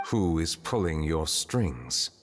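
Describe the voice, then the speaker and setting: A man voicing a calm, dignified chief justice in a video game, speaking in a measured, formal way. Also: rumbling low, long reverb tail, music in the background, heavily acted. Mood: firm, probing. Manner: measured, formal, composed questioning.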